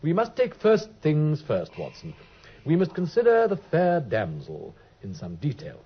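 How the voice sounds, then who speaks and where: A man's voice: on the high side, wildly swooping pitch, a little slow, full character voice. An actor playing a brilliant, aloof male detective in a vintage radio drama, drawling and teasing as he lectures. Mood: wry. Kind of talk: 1950s radio drama acting. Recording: dull, husky old radio recording.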